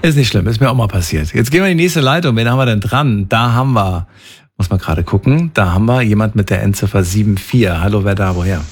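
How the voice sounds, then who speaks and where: A man's voice: lowish voice, fast talker, slightly rough. A male radio host chatting casually with callers on a late-night call-in show, often repeating words and adding "ne?".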